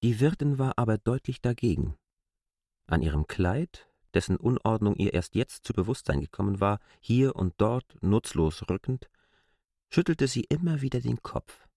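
A man giving expressive scripted storytelling as narrator of a literary radio drama. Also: fairly low pitch, moderate pace, dry recording, studio quality.

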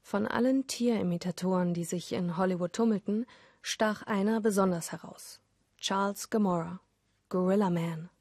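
A woman's voice: medium pitch, normal speed, no room sound, studio quality. A woman reading scripted storytelling narration for an audio play. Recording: loud music under the voice. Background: loud music.

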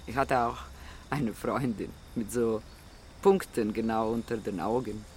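A dreamy homeless man in a radio drama, kinda croaky, hunts for words, wistful. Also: fairly low pitch, fairly even intonation, a little mellow, slight background noise. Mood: nostalgic. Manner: halting, gropes for words, dreamy. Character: homeless deported man in Rome, wistful, nostalgic for Germany.

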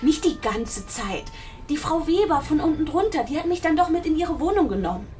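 A young girl in a radio drama, sulky and insistent under questioning. Her voice is high and defensive, over faint music. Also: big pitch swings, a little fast, darkish tone.

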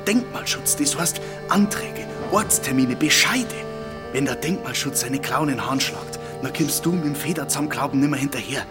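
Actor as a wily Bavarian village mayor, music behind. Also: male voice, mid-range voice, natural voice. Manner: folksy, emphatic dialect talk.

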